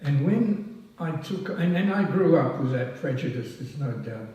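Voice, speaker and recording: An older man, born in France, giving a halting, self-deprecating speech at a ceremony, full of ums and restarts. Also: a little high, lively intonation, warm tone, dull audio. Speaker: past president honoured at a society oration. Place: large hall at a public event. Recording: echoey hall, voice from far off. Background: audience laughing.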